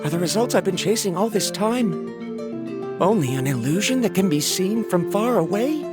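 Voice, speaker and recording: A man's voice: high voice, animated, moderate pace. An actor playing a disheartened young male scholar, analytical and doubtful, in a video game. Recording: game audio with background music.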